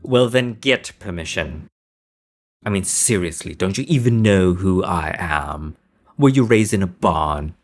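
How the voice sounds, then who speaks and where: A man's voice: low voice, expressive, unhurried. A man acting a haughty, protective young nobleman in an audio drama, making imperious, impatient demands. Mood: indignant.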